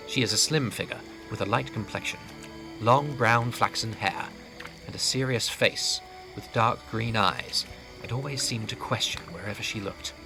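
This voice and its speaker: A man narrating a scripted fantasy audio drama as storyteller, with a gravelly voice. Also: fairly low pitch, poor audio. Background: clear background music.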